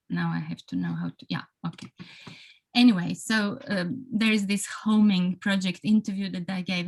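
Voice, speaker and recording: A Croatian woman with a Croatian accent giving a hesitant academic talk on a video call, full of restarts and fillers. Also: lowish voice. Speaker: panelist.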